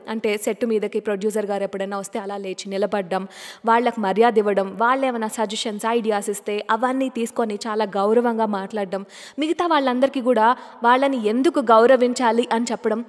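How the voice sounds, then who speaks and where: Lively woman radio host, reverberant. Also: on the high side. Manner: chatty and expressive storytelling. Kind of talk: radio presenter chat.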